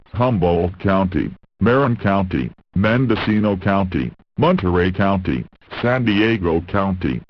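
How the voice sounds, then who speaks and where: A man reading a scripted emergency alert as an announcer, in a formal, measured manner. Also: low voice. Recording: muffled lo-fi radio broadcast sound.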